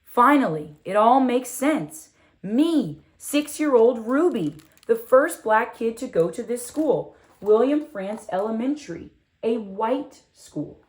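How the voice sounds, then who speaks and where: A woman reading a children's storybook in the first person as a curious, proud six-year-old girl, expressive and full of wonder. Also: on the high side, takes it slowly, voice pushed up. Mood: proud, hopeful. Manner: expressive, wondering, asks rhetorical questions.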